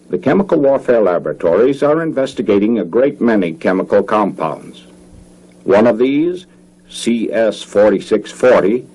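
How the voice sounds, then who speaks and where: A low, mellow American male narrator from a 1950s educational film. His delivery is formal and measured, with careful enunciation and a slightly husky grain to the voice. It has the sound of a vintage documentary soundtrack. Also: poor audio.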